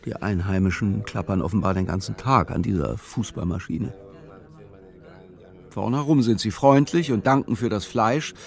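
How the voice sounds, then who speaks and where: A man narrating a literary audio drama in measured, clipped, matter-of-fact phrasing. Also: on the low side, vocal fry. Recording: music behind the voice. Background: music.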